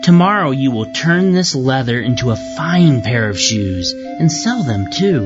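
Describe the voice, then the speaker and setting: A narrator voicing a caring, encouraging cobbler's wife in gentle, reassuring fairy-tale dialogue. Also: mid-range voice, sing-song, unhurried. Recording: muffled lo-fi audio with music behind.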